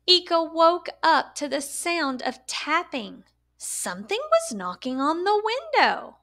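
A woman reading a children's picture book aloud as a storyteller, with expressive, deliberate and emphatic delivery for young listeners. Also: very high voice.

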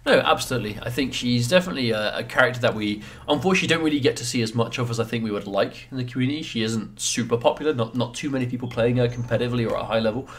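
A British man with a head cold and a congested voice, doing quick analytical commentary on a fighting game. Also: mid-range voice. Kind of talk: esports commentary.

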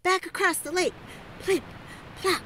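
A woman's voice: extremely high pitch, fairly even intonation, measured pace, heavy background noise. A woman storyteller giving a dramatic, rhythmic picture-book read-aloud for children, theatrical and urging listeners to join in.

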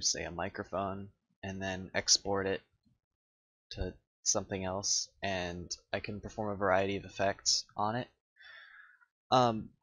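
A man who develops software giving a casual screencast demo of his own program in a hesitant, low-key way. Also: no echo.

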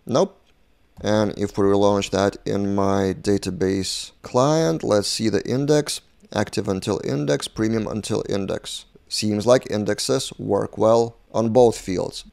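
Low-voiced man with a flu, presenting a tutorial. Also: vocal fry, darkish tone. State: sick with flu, voice affected. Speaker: programming tutorial host. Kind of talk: explanatory screencast tutorial. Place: indoors, webcam beside screen recording.

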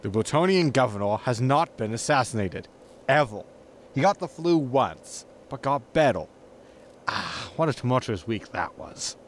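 A man plays a weary spaceship captain in a sci-fi audio comedy. His medium-pitched voice swings a lot as he scolds with dry sarcasm. There is faint noise and ambience behind him. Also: lively intonation, normal speed, close to an everyday voice. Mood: incredulous. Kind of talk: sci-fi sitcom audio drama acting.

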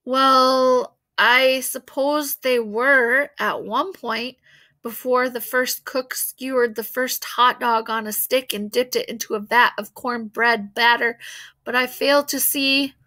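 A woman voicing a wordy, pedantic male science teacher in a storybook, in a measured manner. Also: a little high, steady tone, bright, forceful tone. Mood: pondering.

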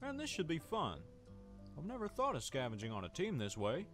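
A man voicing a naive, kindly, trusting guinea pig with a gentle, hesitant, courteous manner in a cartoon game. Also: a little high, huge pitch swings, fairly quick, music in the background. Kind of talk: cartoon adventure game voice acting.

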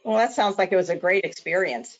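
A woman's voice: mid-range voice, fairly quick, highs cut off. A woman product trainer presenting a webinar in an upbeat, conversational way with some fillers.

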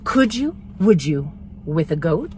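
A woman's voice: low-pitched, very animated, takes it slowly, mellow, slight background noise, pitched below the performer's own voice, heavily acted. A woman voicing a persistent male storybook food pusher with coaxing, sing-song rhymed questions. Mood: eager coaxing. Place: inside a parked vehicle.

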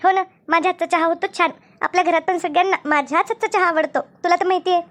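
Woman as a bossy high-voiced elder sister-in-law. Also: fairly even intonation. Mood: bossy, coaxing. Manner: brisk, instructing, repetitive. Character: bossy, two-faced elder sister-in-law running the kitchen. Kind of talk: cartoon family drama dialogue.

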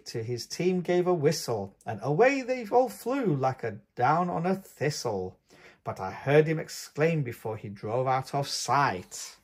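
A man reading a picture book aloud for young children, with expressive, singsong storytelling. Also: a little high, slightly rough. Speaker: host of a children's read-aloud channel.

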